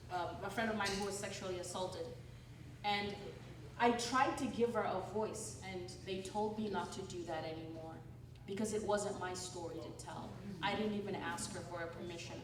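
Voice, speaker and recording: A woman from Malawi whose accent shifts between Malawian, American and British, telling stories from her life at a book event and acting out the voices she quotes. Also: medium pitch. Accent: Malawian mixed with American and British. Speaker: author and speaker. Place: church hall. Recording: roomy hall, noisy, from a distance.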